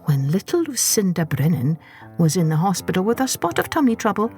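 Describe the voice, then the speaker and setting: A woman narrating a children's story expressively, with deliberate, dramatic phrasing. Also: lowish voice, very animated, a little mellow, faint music behind.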